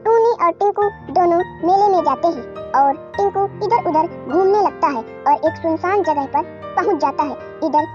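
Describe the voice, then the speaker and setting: A woman's voice: very high voice, normal speed, hardly any pauses. A woman narrating a scripted children's cartoon story. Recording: dull audio over soft music.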